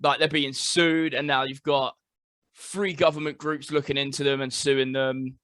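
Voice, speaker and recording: A man's voice: medium pitch, flat delivery, moderate pace, fairly bright tone, clear, resonant tone, no room sound, clean studio sound. A man from England hosting a casual esports podcast, upbeat and leading the chat with short reactions. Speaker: podcast host.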